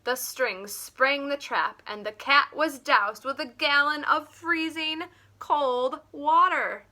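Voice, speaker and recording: A woman reading a children's picture book aloud like a teacher, in an expressive, deliberate way. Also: sits high. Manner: animated, deliberate.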